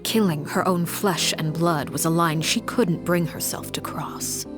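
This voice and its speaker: An actress playing a grave, composed woman who recounts a tragic tale in measured, hushed phrases. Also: fairly low pitch, close to an everyday voice. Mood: somber. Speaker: storytelling game character. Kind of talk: scripted dramatic narration. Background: game music.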